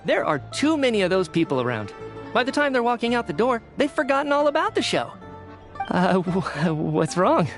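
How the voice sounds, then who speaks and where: A man's voice: sits high, big pitch swings, normal speed, soft background music. A man playing an earnest, apologetic, theater-loving writer in scripted video game dialogue, conversational and candid.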